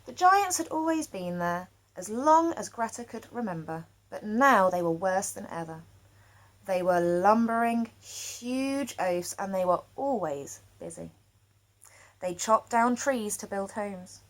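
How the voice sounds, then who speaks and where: A woman storyteller reading a children's picture book aloud expressively, with dramatic pauses. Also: medium pitch, expressive.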